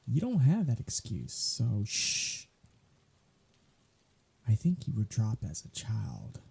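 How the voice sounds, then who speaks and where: Male actor as sardonic vampire, very husky, lively swooping intonation, flippant, world-weary, ASMR roleplay. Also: medium pitch, full character voice. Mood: snarky. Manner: sarcastic, flippant, conversational. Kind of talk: ASMR audio roleplay acting.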